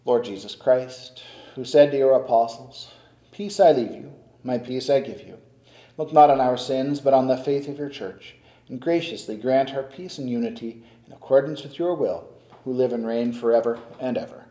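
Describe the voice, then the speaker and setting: An older man, a priest, reciting the prayers of the Mass at the altar in a slow, solemn, measured way. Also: medium pitch, warm tone. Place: stone-walled church sanctuary at the altar. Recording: echoey church.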